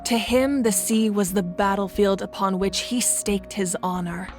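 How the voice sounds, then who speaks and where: A confident, worldly leader, voiced by a woman for a video game, speaking at a middle pitch. She tells long stories in a calm, measured way, and faint game music plays underneath. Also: close to an everyday voice. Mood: reflective. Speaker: Archon of the land. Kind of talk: game voice acting.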